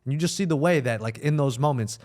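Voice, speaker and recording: A young adult man with an American accent talks casually among peers. His voice sits at a middle pitch, and he explains his thoughts reflectively, as on a conversational podcast. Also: fast talker, a little mellow. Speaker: podcast participant.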